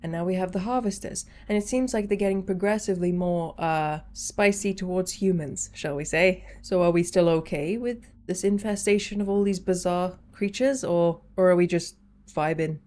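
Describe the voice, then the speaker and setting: A woman's voice: lowish voice, normal speed, warm tone. A woman reacting casually as a video host, with a playful, jokey, slangy way of talking.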